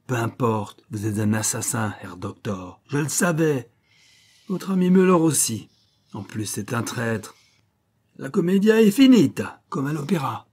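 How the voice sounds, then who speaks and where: A man voicing a brutal, sneering male SS officer in an audiobook, shouting with contempt. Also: on the low side, fairly even intonation, unhurried, hardly any pauses, slightly rough. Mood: triumphant.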